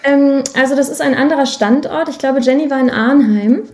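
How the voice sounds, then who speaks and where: A woman's voice: high-pitched, fairly quick, crowd noise. A woman answering casually as a podcast guest, explaining things thoughtfully in a conversational way.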